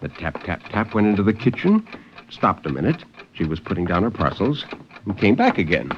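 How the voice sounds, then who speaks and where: A man giving a dramatic, deliberate and intense reading of suspense fiction in 1950s radio style. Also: low-pitched, sing-song. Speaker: story narrator. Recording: muffled, noisy 1950s radio recording.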